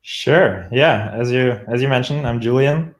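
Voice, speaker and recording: A man's voice: medium pitch, measured pace, echoey room. A young man from Germany with a thick German accent, a guest talking casually about his work with lots of fillers and restarts. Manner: rambling, many fillers and restarts. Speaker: guest product manager. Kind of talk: casual interview chat.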